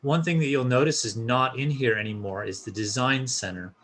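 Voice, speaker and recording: A man's voice: mid-range voice, fairly quick, a bit bright. A man presenting an informal step-by-step tutorial, explaining a screen share in a casual instructional style.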